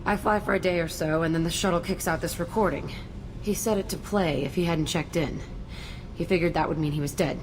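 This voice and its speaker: A woman's voice: lowish voice, fairly even intonation, brisk, close to an everyday voice. An actress playing a tough, guarded young female outlaw in a video game, blunt, bitter and defensive. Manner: blunt, foul-mouthed, defensive. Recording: loud background noise, game ambience.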